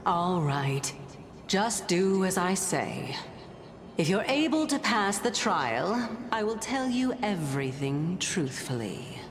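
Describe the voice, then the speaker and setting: A woman playing a mysterious, regal lady in a fantasy video game, composed and commanding, with a measured, formal, faintly condescending manner. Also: on the low side, very animated. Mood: amused, commanding. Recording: game music behind.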